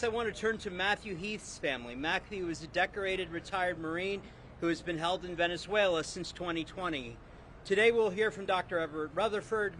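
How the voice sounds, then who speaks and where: Brief: high-pitched male emcee, brisk and informal, faint street noise. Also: a bit bright. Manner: brisk, filler-laden emcee delivery. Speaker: event organizer and emcee. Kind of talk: introducing speakers at a press event. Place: outdoors on a city street.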